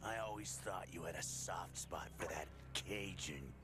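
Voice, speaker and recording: An actor playing a gruff, combative superhero in an animated series, speaking with a taunting edge. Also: male voice, low voice, unhurried, noisy background. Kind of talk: 1990s animated superhero cartoon acting. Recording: loud music behind cartoon dialogue.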